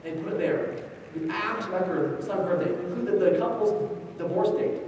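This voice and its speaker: High-voiced man lecturing in an echoing hall. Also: moderate pace, a little mellow. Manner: conversational, short choppy phrases, personal anecdotes. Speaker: genealogy conference presenter. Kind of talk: informal instructional lecture on genealogy. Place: large echoey indoor room, live talk. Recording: echoey hall, distant mic, faint noise.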